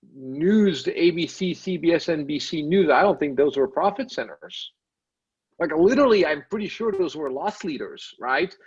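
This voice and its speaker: A man's voice: fairly high pitch, husky, muffled recording. A man from Belgium, a podcast guest who thinks aloud in a casual interview, often checking in with "right?" and restarting his sentences. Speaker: podcast guest, tech studio lead.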